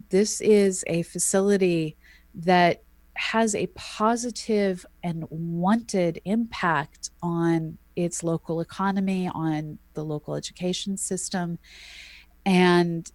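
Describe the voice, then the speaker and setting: A woman co-hosting an astronomy podcast, explaining at length in a measured, thoughtful way with frequent ums. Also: lowish voice, a little mellow, no room sound. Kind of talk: casual explanatory chat.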